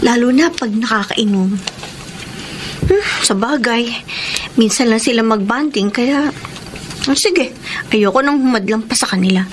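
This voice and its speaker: A woman's voice: fairly high pitch, unhurried, long pauses, slight background noise, natural voice. A woman acting an earnest young daughter who tells her story in a Filipino radio drama, with a Filipino accent. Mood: concerned. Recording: music bed under radio drama.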